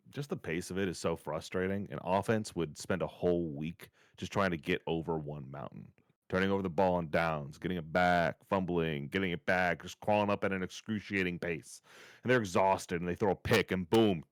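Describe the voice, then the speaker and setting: Casting: man as wry football podcast co-host, low voice, dry close sound, conversational, exasperated, sweary. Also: dry recording. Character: wry, exasperated male sports-show co-host named Roger. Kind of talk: scripted podcast table read as casual sports chat.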